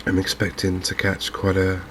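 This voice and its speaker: A man with an English accent giving gentle, unhurried, softly spoken ASMR commentary on a video game. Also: sits low, monotone, a little hiss or hum. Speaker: gaming video host. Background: fishing game ambience.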